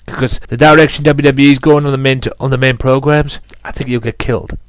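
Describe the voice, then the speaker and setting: Male podcast host with a cold, sniffling, casual and emphatic, mid-pitched. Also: steady tone, fast, slight background noise. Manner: emphatic, repetitive, casual swearing. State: has a cold, sniffling and blocked nose. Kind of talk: solo wrestling podcast commentary.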